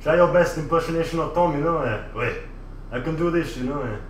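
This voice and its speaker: A man's voice: fairly high pitch, fairly quick, a little hiss or hum, lightly acted. A man streaming live from a kitchen, putting on a vulgar, boastful French chef character with a put-on French accent, rambling and repeating "you know". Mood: cocky. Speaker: livestreamer. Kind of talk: casual livestream chat in character. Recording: roomy, distant mic, faint ambience.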